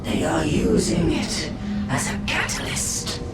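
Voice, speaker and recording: An actor voicing an ancient guardian spirit in a game, solemn and booming, with an otherworldly echo. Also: wildly swooping pitch, exaggerated voice. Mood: solemn, grave. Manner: solemn, booming, drawn-out pronouncements. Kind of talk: game cutscene voice acting. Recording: distant, echoey, under loud music.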